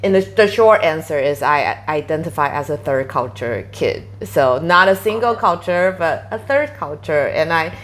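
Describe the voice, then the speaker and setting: Low-voiced woman in her 30s chatting as a guest, lots of you know and like. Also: expressive, normal speed, few pauses, slight background noise. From Taiwan. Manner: chatty, many fillers, quoting herself animatedly. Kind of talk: casual interview conversation.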